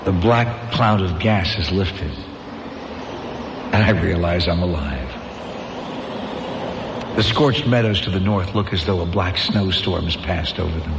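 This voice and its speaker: An actor playing a haunted, middle-aged male astronomer who believes he is the last survivor, narrating in a solemn, brooding, measured way. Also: low-pitched, background noise. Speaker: narrator of a radio drama. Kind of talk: 1990s radio drama acting, first-person narration. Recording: reverberant radio play with music behind.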